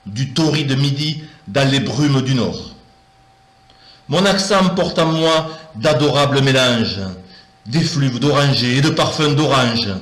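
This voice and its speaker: A man reciting a poem aloud in an expressive, declamatory manner. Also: mid-range voice. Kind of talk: poetry recitation. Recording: roomy echo.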